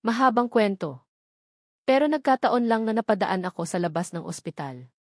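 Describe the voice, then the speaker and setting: A woman's voice: mid-range voice, lively intonation, moderate pace, no room sound, lightly acted. Romantic male lead read by a woman, Filipino accent, earnest and hesitant, audiobook drama, dry sound. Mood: hesitant, serious. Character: serious, hesitant young man, gently concerned romantic lead. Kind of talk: audiobook character dialogue.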